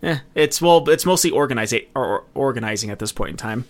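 A man's voice: mid-range voice, animated, fast. A man co-hosting a podcast, chatting casually in a rambling, conversational way. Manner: rambling, conversational, frequent use of "like". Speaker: podcast co-host. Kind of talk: casual chat.

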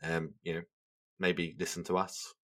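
A man's voice: sits low, little pitch movement, a little slow, fairly bright tone. A man co-hosting a podcast, rambling casually with lots of fillers and poking fun at himself. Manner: rambling, self-mocking, full of fillers. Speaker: podcast co-host. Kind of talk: casual chat.

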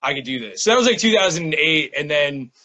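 A man in his thirties with a fairly high, very bright voice, speaking as a guest in a casual interview. He talks in loose slang, dropping "like" and "man" throughout. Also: animated, brisk, highs cut off. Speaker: interview guest, poet and small-press publisher.

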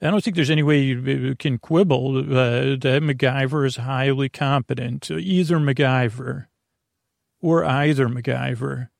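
A man with a gravelly, creaky voice talks as a podcast host settling listeners in for the night. He wanders through his sentences hesitantly, sidetracking himself and gently putting himself down. The sound is dry and close, with no room around it. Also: medium pitch, unhurried, no echo. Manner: meandering, hesitant, self-deprecating, digressive. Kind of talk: rambling bedtime monologue to listeners.

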